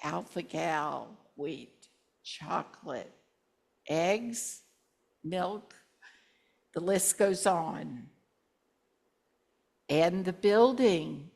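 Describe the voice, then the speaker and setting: A woman in her sixties, a minister reminiscing to her congregation with gentle humor in a church. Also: lowish voice, lively intonation, creaky. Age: 60s. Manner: slow, warm-humored reminiscing with asides. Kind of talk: reflective sermon with congregation sharing. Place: church sanctuary.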